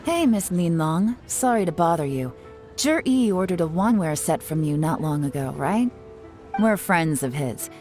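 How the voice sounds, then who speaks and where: Cool, low-voiced shrewd investigator. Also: female voice, very animated, normal speed, a little mellow, soft background music. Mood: polite, probing. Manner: composed, analytical, politely probing. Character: cool, shrewd adult woman investigator in command. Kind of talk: video game voice acting.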